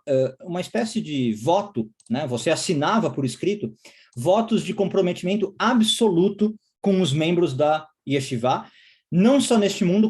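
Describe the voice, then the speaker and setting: A man's voice: fairly high pitch, a little mellow. A man from Israel giving a course lecture, explaining step by step and often checking in with short tag questions.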